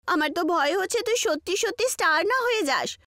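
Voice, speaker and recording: Woman acting anxious, high-pitched young college woman. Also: lively intonation, brisk, sharp tone, no echo, clean studio sound, full character voice. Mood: worried, pleading. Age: young adult. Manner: anxious, protesting, exclamatory. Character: frightened, scolding young college woman, cautious friend. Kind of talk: acted audio horror story dialogue.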